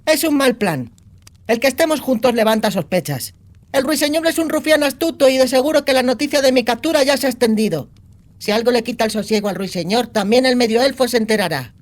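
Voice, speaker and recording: A woman's voice: on the high side, animated, fairly quick, lightly acted. A woman playing a foul-mouthed, cynical young outlaw girl in a fantasy audiobook, talking in a crude, sardonic, slangy way. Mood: brash, scheming. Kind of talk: acted audiobook dialogue.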